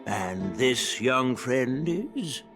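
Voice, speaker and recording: A man's voice: mid-range voice, very slow, music in the background. An actor voicing an elderly retired male general who is easygoing and jovial. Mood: genial. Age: older. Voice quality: relaxed, genial. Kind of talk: video game voice acting.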